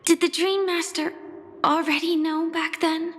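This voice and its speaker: Earnest young game heroine, high gentle voice, direct, reverberant. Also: female voice, level tone, unhurried. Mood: questioning, concerned. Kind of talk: scripted game voice acting. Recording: reverberant, under game music.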